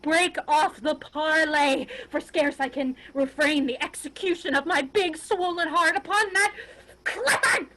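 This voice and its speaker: Woman playing a high-pitched, seething, vengeful young nobleman. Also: unhurried, sharp tone, low-quality recording. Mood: seething rage. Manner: seething, emphatic declamation. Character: Richard, venomous vengeful young crookbacked nobleman. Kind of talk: Shakespearean staged reading. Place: remote reading over a video call.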